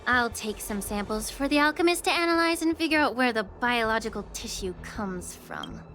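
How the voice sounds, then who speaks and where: A woman voicing a calm, gracious young woman alchemist in a game, speaking gently and reflectively. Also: a little high, normal speed. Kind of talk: game voice acting. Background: game music.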